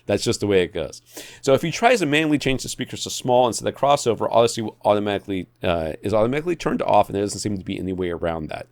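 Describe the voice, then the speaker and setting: A man's voice: on the low side, animated, very fast, dry recording. A man co-hosting a podcast, giving opinionated tech advice in a blunt, exasperated, emphatic way that repeats points for effect. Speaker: podcast co-host.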